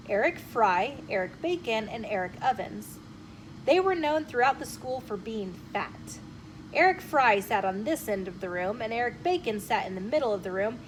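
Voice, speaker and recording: A woman reading a children's story aloud in an animated, expressive way, addressing young listeners directly. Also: on the high side, poor audio. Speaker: storyteller host. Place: bookshop, with ambient noise. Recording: clear background noise.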